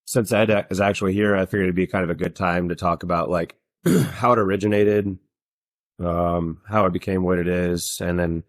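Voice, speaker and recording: A man's voice: sits low, steady tone, moderate pace, dry recording, studio quality. A man hosting a podcast in casual conversation, talking in a rambling way with many fillers and restarts. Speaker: podcast host. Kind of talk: casual chat.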